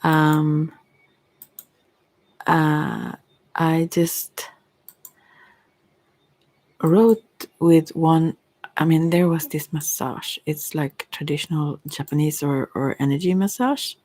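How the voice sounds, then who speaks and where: Low creaky woman, non-native english, soft asmr ramble that drifts into whispers, trails off a lot. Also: fairly even intonation, takes it slowly, long pauses, no room sound, poor audio. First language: not English. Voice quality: soft, breathy, slipping into whispers. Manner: hesitant, meandering, trailing off. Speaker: ASMR creator and tarot reader.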